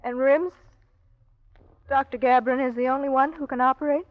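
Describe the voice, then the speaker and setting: Woman acting frightened tender wife, high voice, breathless and faltering, vintage radio drama, muffled old broadcast sound. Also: steady tone, normal speed, close to an everyday voice. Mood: guarded, strained. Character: anxious doctor's wife hiding something, tender and frightened.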